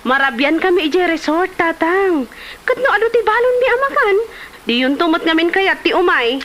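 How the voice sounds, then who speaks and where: A woman acting a breezy young daughter in an Ilocano comedy drama, chatty and quick to protest. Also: very high voice, very animated, fairly bright tone, exaggerated voice, rough recording. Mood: breezy, persuading. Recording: noise behind the voice.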